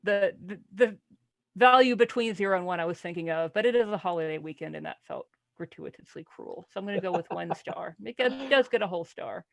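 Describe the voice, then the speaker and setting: Creaky-voiced, dryly wry woman panelist. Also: lowish voice, animated, fairly quick, no room sound. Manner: dry, wry, hesitant phrasing. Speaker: podcast panelist. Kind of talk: casual book discussion.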